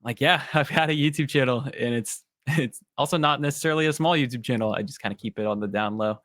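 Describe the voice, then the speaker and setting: Male podcast guest, mid-pitched voice, relaxed and laughing a lot, dry sound. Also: fast talker, no echo. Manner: laughs often, easygoing. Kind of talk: casual sports podcast chat.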